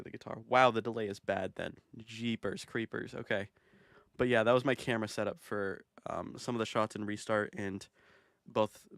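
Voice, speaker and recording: A man's voice: fairly low pitch, no echo, studio quality. A man casually commenting on his own music video, rambling and self-amused with plenty of fillers. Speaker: video creator.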